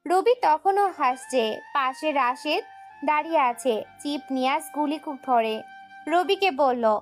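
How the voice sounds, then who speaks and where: A woman's voice: high voice, animated, a little slow, soft background music. A woman narrating a scripted dramatic story as its storyteller.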